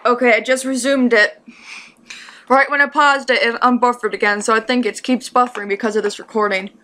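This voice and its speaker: A woman's voice: a little high, fairly even intonation, brisk, sharp tone. A woman giving casual, unscripted reaction commentary on a story she is listening to.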